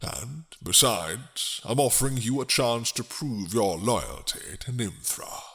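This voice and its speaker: A man voicing a grave, suspicious king in a dramatic roleplay, stately, formal and deliberate. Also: medium pitch, animated, fairly bright tone. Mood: grave, commanding.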